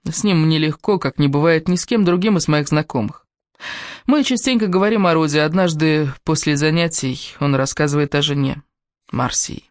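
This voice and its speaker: A woman's voice: sits low, normal speed, no echo, studio quality. A woman reading a first-person novel aloud as scripted audiobook narration.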